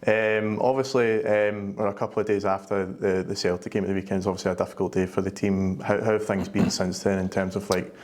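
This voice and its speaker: A man's voice: low-pitched, little pitch movement, fairly quick, few pauses, a little mellow. A man with a Scottish accent, putting questions as a sports writer in a casual interview.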